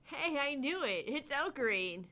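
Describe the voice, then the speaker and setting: A woman voicing a lively, concerned young female friend in a visual novel, earnest and expressive. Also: sits high, a little slow, crowd noise. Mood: excited.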